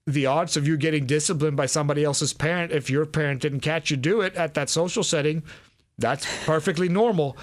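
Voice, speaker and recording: Chatty man from Sweden, higher voice. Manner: talkative, many restarts and fillers. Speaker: podcast guest. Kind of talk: casual interview chat.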